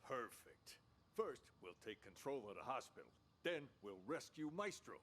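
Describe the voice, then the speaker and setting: A man's voice: medium pitch, very animated, moderate pace, a bit bright. An actor playing a delusional, theatrical mental patient who thinks he is a director, pompous and conspiratorial in a radio drama style. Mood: commanding, scheming. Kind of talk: interactive radio drama acting.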